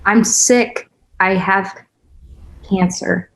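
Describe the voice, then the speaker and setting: A woman's voice: mid-range voice, steady tone, drawn-out words, creaky, heavily acted, low-quality recording. A woman acting a tough, weary, guarded single mother in a naturalistic screenplay read, blunt and sardonic. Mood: guarded, heavy. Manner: blunt, sardonic, plain-spoken.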